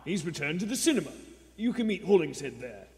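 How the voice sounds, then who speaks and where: Man playing a high-voiced, probing police chief. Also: very animated, fairly quick. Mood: businesslike. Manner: businesslike, questioning. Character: businesslike male police superior, probing and brisk. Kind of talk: live radio play acting. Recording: echoey theater hall.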